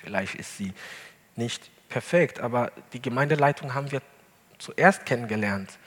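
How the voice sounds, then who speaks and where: A male pastor, not a native German speaker, preaching an earnest sermon in a church hall with deliberate emphasis. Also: medium pitch, fairly bright tone. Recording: long hall reverb.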